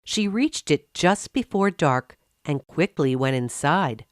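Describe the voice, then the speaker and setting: A woman narrating a scripted fairy tale for children, expressive and carefully phrased. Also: sits low, slow pace, no room sound, clean studio sound. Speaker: storyteller.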